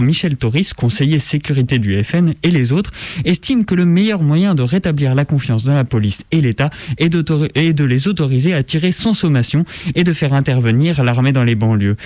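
A man's voice: fairly high pitch, animated, a little fast, a little mellow, no room sound. Man, dry-sounding scripted radio commentary. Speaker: radio show presenter. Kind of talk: scripted political commentary read aloud.

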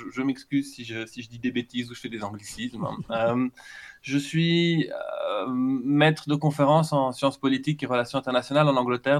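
A middle-aged man from Belgium answering interview questions over an internet call as an academic author, repeating words while he thinks. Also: mid-range voice. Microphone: remote internet call.

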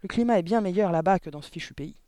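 A man voicing a gruff, impatient father from a storybook who barks curt orders. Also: high voice, quick pace, mellow, dry recording, pitched above the performer's own voice, lightly acted. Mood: hurried, brusque. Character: gruff, impatient, bullying father of a young girl.

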